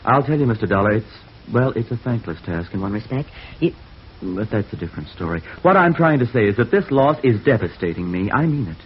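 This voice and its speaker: A man's voice: on the low side, big pitch swings, brisk. A man playing a fussy, flustered fashion designer in 1950s radio drama, theatrical and gushing, repeating himself. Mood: suffering, theatrical. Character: fussy, melodramatic, frantic male fashion designer. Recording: muffled, hissy old radio recording.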